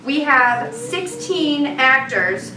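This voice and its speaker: A woman's voice: sits high, animated, extremely slow. Woman addressing an echoey, noisy hall. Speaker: children's theatre programme organiser introducing a show. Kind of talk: informal welcome speech to an audience. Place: community building hall, before an audience. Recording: echoey hall, distant microphone, crowd noise.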